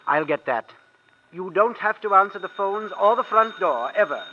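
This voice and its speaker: An actor playing a mild, soft-hearted husband in a 1940s radio drama, hesitant and placating. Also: male voice, high voice, lively intonation, moderate pace, slight background noise. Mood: reassuring. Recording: muffled old radio recording.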